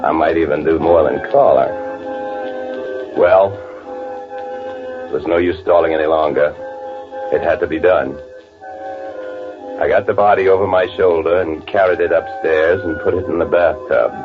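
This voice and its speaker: An actor playing a bitter, gloating murderer who poses as his twin, confessing in halting first-person 1940s radio drama narration. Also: sits low, fairly even intonation. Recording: tinny vintage radio recording with music.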